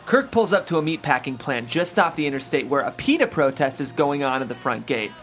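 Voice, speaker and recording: A man's voice: medium pitch, very animated, brisk, fairly bright tone, soft background music. A man hosting a podcast table read, talking casually and reading out script directions. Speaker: host, reader of stage directions.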